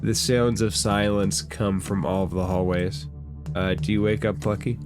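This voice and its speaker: A man's voice: fairly low pitch, fairly even intonation, normal speed. Man running a tabletop game over music. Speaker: dungeon master. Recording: loud music behind the voice. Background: loud background music.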